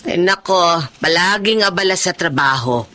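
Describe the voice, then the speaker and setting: A woman's voice: lowish voice, expressive, moderate pace, sharp tone, a little hiss or hum. An actress playing an aging, kind foster mother in a radio drama, fussy and tender, with sighs over her sore back. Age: older.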